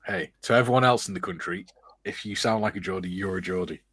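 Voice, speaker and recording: A man's voice: sits low, fast talker, fairly bright tone, husky, no echo. A man on a live stream panel, joining the banter with jokes. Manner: joking banter. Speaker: panel guest. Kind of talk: casual live-stream chat.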